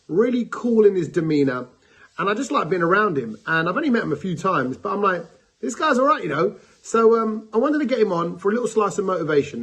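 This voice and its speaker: A man hosting a podcast in a casual, animated, jokey way. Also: fairly high pitch, husky. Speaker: podcast host.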